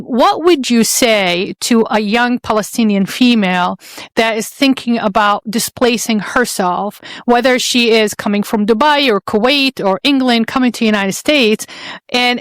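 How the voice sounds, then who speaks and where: A woman's voice: a little high, dry recording. Earnest woman host, dry close sound. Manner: earnest, rambling, heartfelt. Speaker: podcast host. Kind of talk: casual interview chat.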